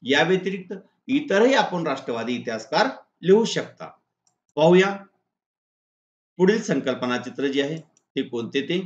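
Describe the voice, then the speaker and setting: A man's voice: a little high, expressive, normal speed. A man with a Marathi accent teaching in a methodical, instructional way, reading out exam questions and answers point by point. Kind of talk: scripted exam-prep lesson narration. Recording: echoey room, dull muffled audio.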